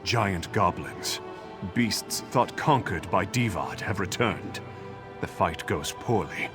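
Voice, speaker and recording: A man's voice: deep voice, animated, slow pace, over music. A man voicing the burdened spirit of a legendary warrior in a fantasy game, grave and formal. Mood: grave, urgent. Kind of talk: fantasy video game acting.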